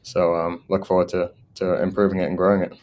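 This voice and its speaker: An Englishman in his thirties speaks low and even, with little rise or fall in his voice. His accent has drifted partway toward American. He tells stories as a podcast guest and laughs often along the way. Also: a little fast. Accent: English, partly Americanized. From England. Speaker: guest, solar sales manager. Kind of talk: casual podcast interview.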